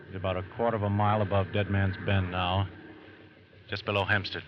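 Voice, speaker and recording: A man's voice: low voice, level tone, fairly quick, lightly acted. A man playing a watchful FBI agent on a manhunt in a 1940s radio drama. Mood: tense, watchful. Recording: muffled old radio recording, engine noise.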